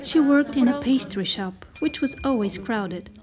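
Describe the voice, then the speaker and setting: A woman performing as the storyteller of a dystopian folk tale, reading scripted literary narration in a measured, descriptive way. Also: a little high, animated, a crowd in the background.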